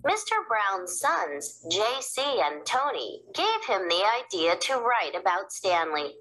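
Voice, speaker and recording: A woman's voice: mid-range voice, big pitch swings, takes it slowly, sharp tone, low-quality recording. A woman reading a scripted biographical introduction for children.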